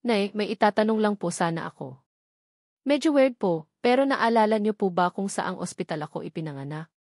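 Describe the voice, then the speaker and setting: A woman storyteller plays an anxious young Filipina in a romance audio story. She speaks hesitantly and deferentially, dodging and covering up, in a mid-pitched voice with a dry sound. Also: lively intonation, a little fast, darkish tone, dry recording. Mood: nervous, polite.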